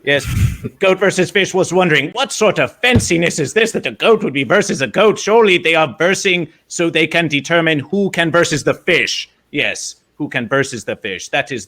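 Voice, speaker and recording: A man's voice: fairly high pitch, fairly bright tone. A man voicing a quirky male mascot character who talks about himself in the third person, in stilted grammar with a constant "yes". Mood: playful. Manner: theatrical, swooping storytelling delivery. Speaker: guest. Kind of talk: in-character livestream chat.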